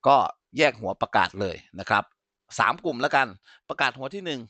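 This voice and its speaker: A man's voice: medium pitch, animated, fairly quick, bright, forceful tone, no room sound. Man teaching an informal technical tutorial. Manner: explanatory, frequently checks that listeners follow. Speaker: instructor. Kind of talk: informal software tutorial with live demonstration.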